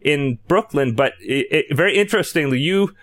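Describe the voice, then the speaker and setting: A man's voice: fairly high pitch, lively intonation, fairly quick, a bit bright. A man hosting an interview, prompting his guest with short questions and frequent "wow" and "mm-hmm" responses. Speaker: interview host.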